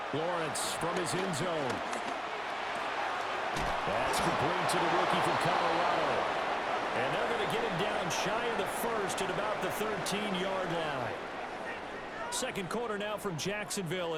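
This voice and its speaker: An American football play-by-play announcer in a video game, brisk and keen. His voice sits fairly high, and loud game noise runs behind it.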